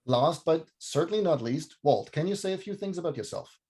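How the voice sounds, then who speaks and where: A man's voice: fairly high pitch, lively intonation, fairly quick, darkish tone. Man moderating a video call. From Germany. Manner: clear, orderly moderator. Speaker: host, moderator. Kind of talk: scripted introduction and moderating.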